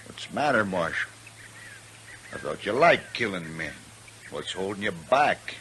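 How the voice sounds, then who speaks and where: A blustering American gunman from a 1950s radio western. The voice is very deep and animated, sneering and goading. A faint hiss runs under the old recording. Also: measured pace, full character voice. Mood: mocking. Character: cocky, taunting western gunman, a blustering bully.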